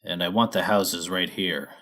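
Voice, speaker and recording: A man's voice: low-pitched, fairly even intonation, a little slow, pushed and bright. A man voicing a lazy, self-interested dragon in a storybook, with a plain, matter-of-fact delivery.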